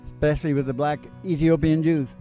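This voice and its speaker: A man's voice: fairly high pitch, little pitch movement, a little fast, mellow. A man, co-hosting a comedy music podcast, tells stories in a folksy, meandering, absent-minded way and chats casually. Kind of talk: rambling comic storytelling, casual chat.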